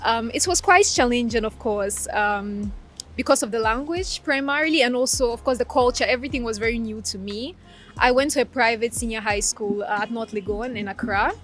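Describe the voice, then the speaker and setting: A woman in her twenties from Ukraine, a native Russian speaker, giving articulate and measured interview answers as a law graduate. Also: a little high, a bit bright, soft background music. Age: 20s.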